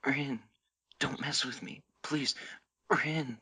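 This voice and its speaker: A man voicing a shy, embarrassed young lover in a fanfiction reading, stammering and pleading in a breathy voice. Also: mid-range voice, steady tone, takes it slowly, pushed and bright, pitched above the performer's own voice, heavily acted.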